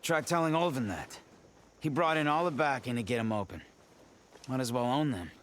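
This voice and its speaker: An actor playing a weary, worried miner who speaks in a gruff, resigned way in a video game. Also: male voice, mid-range voice, lively intonation, moderate pace, slight background noise, close to an everyday voice.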